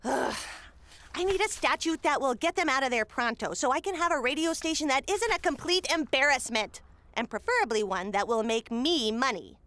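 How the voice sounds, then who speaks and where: A woman's voice: sits high, animated, normal speed, fairly bright tone, dry recording. A woman playing a scheming, impatient small-town mayor in a comedy audio drama, bossy and dismissive in a theatrical way. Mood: exasperated, scheming.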